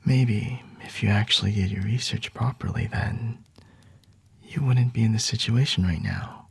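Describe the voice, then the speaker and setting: A man acts out a smug, flirty vampire in an ASMR roleplay, whispering low and very husky, right up close and dry. He takes his time, teasing and talking down to his captive in an unhurried, condescending way. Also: no room sound, heavily acted. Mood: mocking. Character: flirty, smug male vampire toying with a captured hunter.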